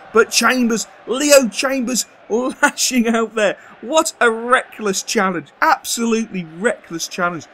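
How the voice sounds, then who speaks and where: A man's voice: sits high, a bit bright, a little hiss or hum. A young man commenting on his own football video game career, emphatic and prone to repeating words for stress. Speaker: gaming video host. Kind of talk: gameplay commentary.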